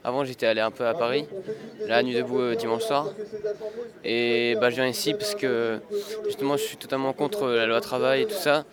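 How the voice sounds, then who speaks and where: A man's voice: medium pitch, fairly even intonation, a little fast. A man in a street interview explaining hesitantly why he joined the gathering. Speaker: participant interviewed. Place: outdoor city square. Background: loud crowd.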